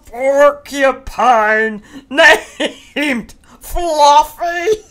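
A big, gruff storybook rhinoceros played by a woman, a bully with a silly streak. The words come out very slowly and stretched, swinging widely in pitch. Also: expressive, a bit bright, exaggerated voice. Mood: helpless laughter. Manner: slow, drawn-out, menacing or breathless with laughter. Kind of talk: children's storybook character voice.

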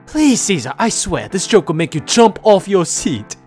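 A woman's voice: fairly low pitch, very animated, normal speed, darkish tone, soft background music. A woman voicing a cocky, pestering young man who teases and jokes in a comic dub. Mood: playful. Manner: teasing.

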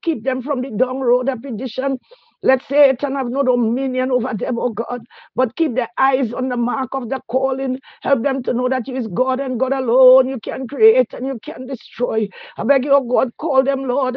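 A man leading a spontaneous spoken prayer, pleading fervently and closing each phrase with a softer "oh God" or "Lord". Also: very high-pitched, slightly rough, no echo. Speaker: prayer leader. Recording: dull, dry radio recording.

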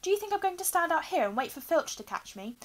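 A woman voicing a bossy, indignant schoolgirl with a shrill, scolding tone in a storybook reading. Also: expressive, quick pace. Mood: defiant. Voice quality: shrill, hissing when angry.

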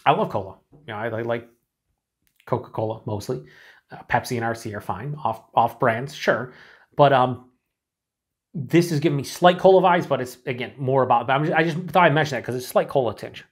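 An enthusiastic middle-aged man talks casually to a fixed camera in a small room. His voice is mid-pitched and conversational. He swears freely and sometimes slips into breathy, hushed asides. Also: brisk. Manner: chatty and enthusiastic, swears, drops to breathy whispers while sniffing. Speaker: beer reviewer. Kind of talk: casual solo review to camera.

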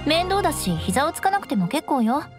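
A proud young noblewoman knight speaks in a high voice. She is haughty and formal in an old aristocratic way, yet easily flustered. Game dialogue with clear music behind. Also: sing-song, fairly quick. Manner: haughty, archaic aristocratic phrasing. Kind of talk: Japanese game voice acting.

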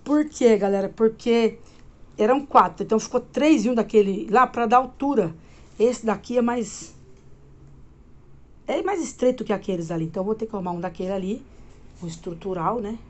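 A woman's voice: fairly high pitch, moderate pace. A woman in her forties from Minas Gerais, with a Minas accent, chatting loosely to her viewers in a casual home vlog, recorded on a phone held close while lying in bed. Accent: Brazilian Portuguese, Minas Gerais. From Minas Gerais, Brazil. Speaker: vlogger. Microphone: phone camera held close.

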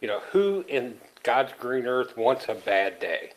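A man's voice: mid-range voice, sing-song, measured pace, poor audio. A middle-aged man co-hosting a casual podcast over a video call, easygoing and folksy, rambling as he talks. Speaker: podcast co-host. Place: small room indoors. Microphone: headset on a video call.

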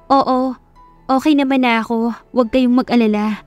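Woman playing a gentle, hurt young wife. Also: a little high, steady tone, moderate pace, no room sound, highs cut off, soft background music, close to an everyday voice. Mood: meek. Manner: apologetic, earnest.

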